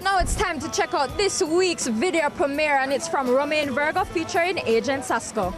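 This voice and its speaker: A woman's voice: high voice, normal speed, over music. A woman hosting a show, reading scripted presenter links. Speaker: TV show host.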